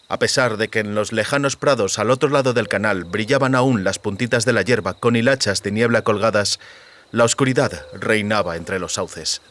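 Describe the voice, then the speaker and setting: A man's voice: mid-range voice, fairly bright tone. A man narrating a literary audiobook in a dramatic, scripted storytelling style. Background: music.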